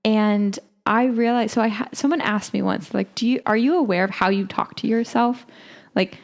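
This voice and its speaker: Reflective woman with a gravelly voice. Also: fairly high pitch, steady tone. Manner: reflective, halting, many "like" fillers. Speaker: podcast co-host. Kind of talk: casual chat.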